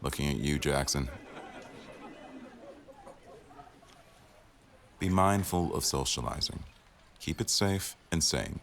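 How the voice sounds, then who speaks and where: Very low male voice, acted: demanding newsroom boss for audio roleplay, dry wit, firm authority. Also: normal speed, a bit bright, slight background noise. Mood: wry, commanding. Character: exacting, sardonic male executive news producer, the boss. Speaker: voice actor in a boyfriend audio roleplay. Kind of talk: scripted ASMR roleplay, addressing staff at a meeting.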